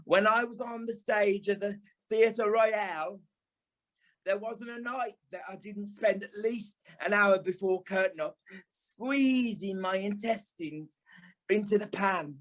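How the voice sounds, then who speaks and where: Man playing a high-voiced, drawling, flamboyant British dame. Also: slow, fairly bright tone. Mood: frank, reassuring. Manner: theatrical, tactless, drawling, calls people darling and lovey. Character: flamboyant, blunt older former dancer and ballet teacher, a dame. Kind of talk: audio play acting.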